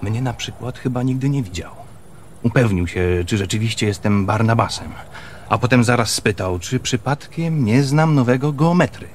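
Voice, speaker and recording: An actor playing an earnest, eager messenger in a radio drama, giving a careful, detailed report. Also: male voice, medium pitch, moderate pace, a little hiss or hum, lightly acted. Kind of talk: Polish radio theatre acting.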